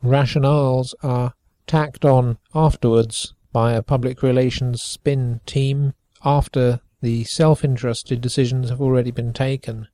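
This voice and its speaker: A man reads a prepared commentary in a measured voice, with a pointed, questioning edge. His tone is mellow and mid-pitched, and the recording is close and dry with no room sound. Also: fairly even intonation, no echo. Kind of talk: read commentary.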